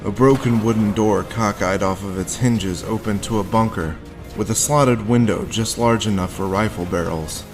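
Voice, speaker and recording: An actor playing a grim, haunted war veteran, telling his story deliberately in a noir audio drama. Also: lowish voice, over music, lightly acted. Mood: grim, tense. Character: haunted hard-boiled male war veteran recounting his grim past.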